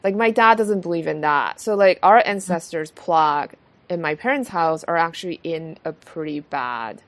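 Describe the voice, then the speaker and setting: A woman's voice: lowish voice, moderate pace, a bit bright, no room sound. A woman from Taiwan hosting a podcast, chatting casually with many fillers and restarts. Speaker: podcast host. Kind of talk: casual conversation.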